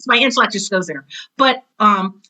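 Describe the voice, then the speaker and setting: Podcast guest, female teacher type, notably bright mid-range voice, conversational yet emphatic explainer. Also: medium pitch, normal speed, poor audio. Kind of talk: conversational interview, explaining her work.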